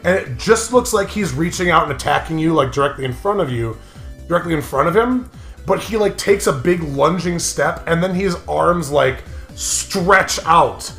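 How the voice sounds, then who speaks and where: A man's voice: on the high side, lively intonation, normal speed, creaky, fairly bright tone. A man co-hosting a let's play, chatting casually and jokingly and prodding his co-host, often breaking into laughter. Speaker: co-host of a gaming show. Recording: roomy echo, faint music behind. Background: faint music.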